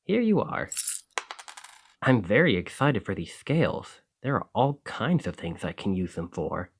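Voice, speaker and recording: Chatty village alchemist played by a man for fantasy ASMR roleplay: lowish voice, very lively intonation, eager and a touch flustered. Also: a little slow, husky, heavily acted. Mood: pleased, businesslike. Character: friendly, excitable male village alchemist in a fantasy shop. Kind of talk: ASMR roleplay addressed to the listener.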